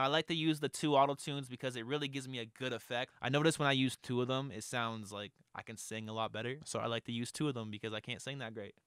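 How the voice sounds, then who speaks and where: A man's voice: mid-range voice, fairly bright tone, smooth, dry recording. A young man in a home studio, giving a chatty, upbeat tutorial on mixing vocals, close to a studio microphone. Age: young adult. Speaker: music producer teaching vocal mixing. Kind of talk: casual tutorial. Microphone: close studio mic on arm.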